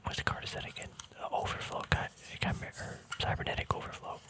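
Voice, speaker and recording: A man speaking in a soft, breathy whisper, chatting casually and informally in a relaxed ASMR style as he handles cards. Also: quick pace. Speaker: ASMR card game vlogger.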